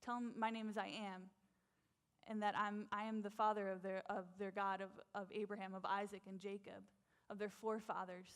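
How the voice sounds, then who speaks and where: A woman's voice: mid-range voice, little pitch movement, fairly quick, lightly acted. A woman giving a breezy, unbothered God. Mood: reassuring. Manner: casual, offhand, reassuring. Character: breezy, reassuring male deity, unbothered.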